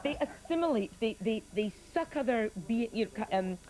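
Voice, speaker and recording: A woman's voice: on the high side, lively intonation, a little fast, dark tone. A woman in the studio audience making an emphatic, repetitive argument. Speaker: audience member. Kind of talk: heated debate.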